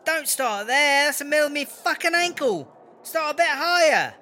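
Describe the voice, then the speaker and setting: A mouthy British female inmate for a comedy audio drama. Her voice is bright and forceful, and she rambles in slang with defensive, foul-mouthed bluster, like a would-be gangster. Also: high-pitched, animated, normal speed, slight background noise. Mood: irritated.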